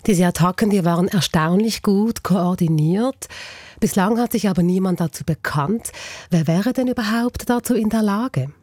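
A woman's voice: mid-range voice, normal speed, dark tone, no room sound, studio quality. A woman interviewing a correspondent for radio news with prepared questions.

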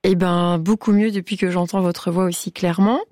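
A woman hosting a radio interview, asking questions and reacting quickly. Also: on the low side, steady tone, heavy background noise. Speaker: radio host.

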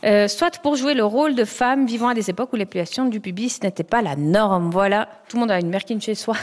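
A woman's voice: mid-range voice, brisk. A woman hosting a comedy quiz show, putting questions and answers to her panelists. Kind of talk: game show hosting before an audience. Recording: reverberant hall with audience.

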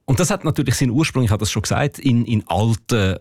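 A man's voice: medium pitch, expressive, fast, a little mellow, no room sound, studio quality. A man with a Zurich Swiss German accent, a dialect expert explaining thoughtfully on a radio show, with some fillers. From Switzerland. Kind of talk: radio interview. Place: radio studio.

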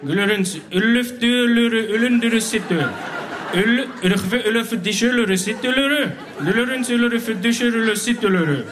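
A man's voice: very high-pitched, quick pace, background noise. A man performing a comic spoken-word poem on stage, reciting rhythmic mock-language gibberish with playful chuckles.

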